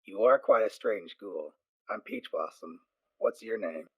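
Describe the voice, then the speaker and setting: A man voicing a weathered female ghoul pony soldier, raspy and gruff but gentle, in an audio drama. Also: fairly low pitch, expressive, a little slow, no echo, muffled recording. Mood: wry, kindly. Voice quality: raspy, husky.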